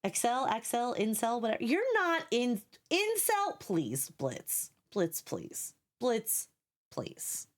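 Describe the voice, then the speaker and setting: A woman's voice: fairly high pitch, huge pitch swings, takes it slowly. Woman streamer, scratchy sleepy voice, blunt, sarcastic, swears a lot, casual chat. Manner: blunt and sarcastic, swears freely, repeats herself.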